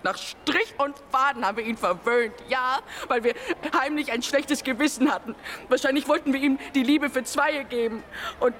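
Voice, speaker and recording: A woman's voice: high-pitched, normal speed, fairly bright tone. An actress in a radio drama playing an emotional, outspoken mother who stammers and rambles in agitation. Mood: confiding, nervous. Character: emotional, outspoken ordinary mother, indignant and flustered. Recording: noisy outdoor crowd scene.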